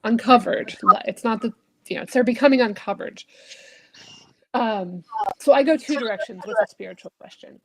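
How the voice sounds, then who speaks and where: A woman minister speaking in a thoughtful, searching way, often trailing off softly at the ends of phrases. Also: fairly high pitch, normal speed, no echo, poor audio. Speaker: Unitarian Universalist minister. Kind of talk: reflective conversational talk.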